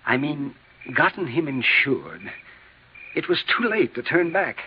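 A weak, remorseful middle-aged broker in a 1950s radio drama. His halting, regretful delivery swings widely in pitch. The sound is dull, with some faint noise from the old recording. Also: big pitch swings. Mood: uneasy, remorseful. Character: weak, remorseful insurance broker confessing to fraud.

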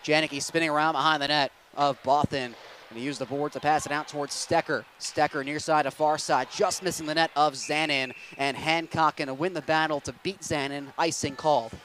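Young guy doing hockey play-by-play, kinda high voice, faint hiss behind. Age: young adult. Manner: rapid, steady calling that spikes into excited shouting on goals. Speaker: play-by-play announcer.

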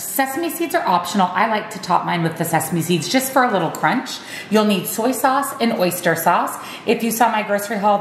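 A woman's voice: medium pitch, slight background noise. Upbeat lady walking thru recipes, kitchen echo, bit of sizzle in back. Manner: upbeat step-by-step instructions. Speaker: cooking vlogger. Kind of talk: meal prep voice-over. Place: home kitchen.

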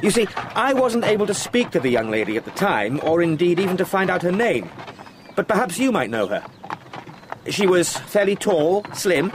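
An actor playing an eager, polite young English solicitor in a radio drama, speaking formally with small hesitant asides. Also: male voice, high-pitched. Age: young adult. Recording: noisy outdoor sound-effect background.

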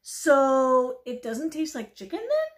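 Woman as a curious, high little storybook duckling. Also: huge pitch swings, takes it slowly, heavily acted. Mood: persistent. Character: little duckling, curious, sly and innocent-sounding pest. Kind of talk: children's picture-book character voice.

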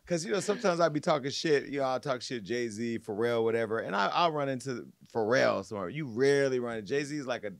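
A man's voice: mid-range voice, expressive, fast talker, gravelly, hardly any pauses, dry recording. Dry, close-miked sassy male podcast host. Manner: sassy, mocking, slangy. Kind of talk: casual comedic commentary on viral news. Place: podcast studio. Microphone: close studio microphone on desk.

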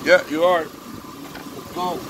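A man's voice: on the high side, flat delivery, slow. A man with a Spanish accent, a native Spanish speaker, chatting casually on a phone camera in his workshop as he shows a broken chainsaw to the customers of his parts store. He talks to the machine as if it were a pet. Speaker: eBay parts seller. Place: cluttered workshop indoors. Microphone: phone camera held close. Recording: phone recording with clear engine noise. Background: engine and machine noise.

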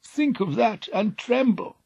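A man's voice: sits high, expressive, slow, creaky, darkish tone, breathy edge, no room sound, voice pushed up, full character voice. A man playing a fearful old blind king.

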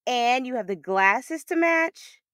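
A woman voicing a mocking schoolboy bully in a storybook, with sneering, drawn-out jeers. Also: high voice, animated, a bit bright, no echo, studio quality, voice pushed up, full character voice.

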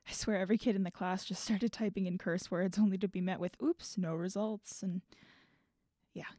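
A woman plays a torn, guarded figure weighed down by regret in an audio drama. The delivery is halting and natural, at a medium pitch. The sound is dry and close. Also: steady tone, warm tone, dry recording, natural voice. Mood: wry, wistful. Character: guarded, regretful adult named Hugh, torn and bitter. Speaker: voice actor in student audio drama.

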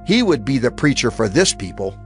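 A man's voice: mid-range voice, very animated, brisk, soft background music. A man giving a dramatized, solemn reading of scripture as an audio Bible narrator, declamatory and emphatic.

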